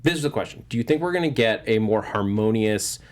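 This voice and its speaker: A man's voice: on the low side, expressive, fast. A man hosting a movie news panel show in a casual, informal chat style.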